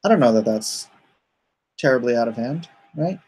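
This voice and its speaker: Male livestream host, husky voice, creaky edge, rambling casual chat, joking. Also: mid-range voice, expressive, moderate pace, a little mellow. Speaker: comic artist hosting a livestream. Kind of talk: casual livestream chat, reading viewer comments aloud.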